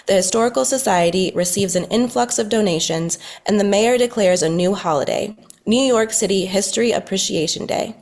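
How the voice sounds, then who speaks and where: A woman's voice: medium pitch, brisk, a little mellow. A woman reading scripted narration and stage directions at a table read.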